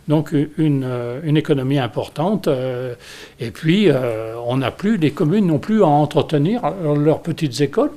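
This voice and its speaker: A man from France speaking as a school delegate, explaining things unscripted in a measured way while searching for words. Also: a little high, a little mellow.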